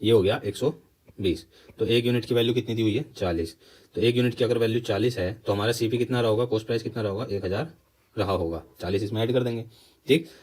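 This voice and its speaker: A man's voice: fairly low pitch, fast talker, darkish tone. A man with an Indian accent teaching step by step, posing questions and answering them himself, switching between two languages. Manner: mixes Hindi explanation with English terms. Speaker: maths teacher for exam preparation. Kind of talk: step-by-step lesson explanation.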